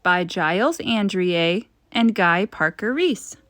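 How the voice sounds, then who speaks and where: A woman, a teacher, reading a children's picture book aloud in an expressive storytelling style. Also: mid-range voice, slow, no echo, clean studio sound.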